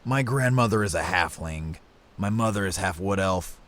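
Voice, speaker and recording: A man's voice: low-pitched, animated, moderate pace, a bit bright. An actor playing a curious, earnest young man of mixed blood in a fantasy audio drama. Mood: curious, reserved.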